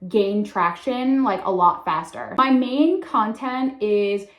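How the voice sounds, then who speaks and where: A woman's voice: mid-range voice, takes it slowly, roomy sound, dull audio. Chatty young New woman vlogging. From Long Island, New York. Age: 20s. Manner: chatty, heavy use of "like", candid. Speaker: content creator. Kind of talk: casual talking-to-camera introduction. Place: room in her sister's house.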